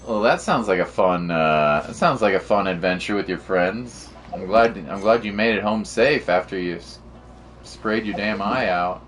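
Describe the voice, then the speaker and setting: A man's voice: fairly low pitch, moderate pace, fairly bright tone, slight background noise. A man streaming a game, chatting casually in a rambling, teasing, slangy way. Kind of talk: casual chat while playing.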